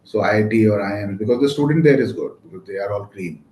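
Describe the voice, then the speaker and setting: Indian man with a low voice, echoey room. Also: fast talker, darkish tone. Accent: Indian English. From India, West Bengal. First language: Maithili. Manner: rambling, with many fillers and trailing off. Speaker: guest, real estate lawyer. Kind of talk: casual interview conversation. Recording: echoey room with faint noise.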